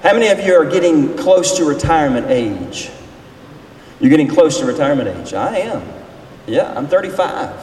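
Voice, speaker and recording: A man's voice: a little high, sing-song, moderate pace, a little mellow. A man in his forties preaching informally as a pastor, folksy and conversational as he engages the people in front of him. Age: 40s. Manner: folksy, conversational, questions the audience. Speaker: pastor leading a seminar session. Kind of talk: informal sermon with audience interaction. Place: large reverberant room, speaking to a group. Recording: distant echoey hall with noise.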